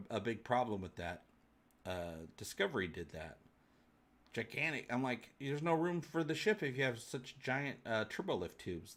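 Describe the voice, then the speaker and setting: A man's voice: medium pitch, fairly bright tone. A man hosting a fan livestream, chatting casually and answering viewers in a rambling, jokey, colloquial way. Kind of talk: casual chat, answering live viewer comments.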